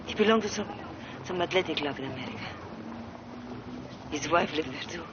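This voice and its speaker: A chatty streetwalker. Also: female voice, low voice, expressive, brisk. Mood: wistful. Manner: rambling, confiding, drops to whispers. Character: chatty Parisian streetwalker, wistful and talkative. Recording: dull old film soundtrack with noise.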